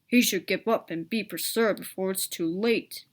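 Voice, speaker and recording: A woman's voice: mid-range voice, normal speed, lightly acted. A woman voicing a proud, scornful male express engine in a storybook, with a grunting, dismissive delivery.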